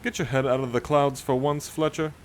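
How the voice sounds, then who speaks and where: A man's voice: medium pitch, fairly even intonation, brisk, a little hiss or hum, close to an everyday voice. A man playing a dry, learned, skeptical sailor who teases his shipmates in a nautical audio drama. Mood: dry, teasing. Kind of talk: cinematic audio roleplay, nautical fantasy.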